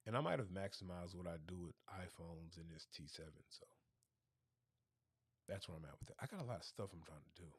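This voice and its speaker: A man with a very low, hoarse voice hosts a casual livestream chat, thinking out loud and circling back on his words. The sound is dry and close, with no room around it. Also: expressive, a little fast, no echo. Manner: rambling, thinking aloud with restarts. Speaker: livestream host.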